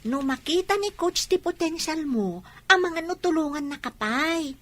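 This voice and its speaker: A woman's voice: high voice, lively intonation, normal speed, a bit bright. A woman with a Filipino accent acting a bossy but encouraging young school friend in a radio drama, urging and insistent.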